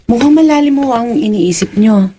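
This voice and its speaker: High-voiced caring young daughter acted by a woman. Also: moderate pace, warm tone, slight background noise, natural voice. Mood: puzzled. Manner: respectful, inquiring.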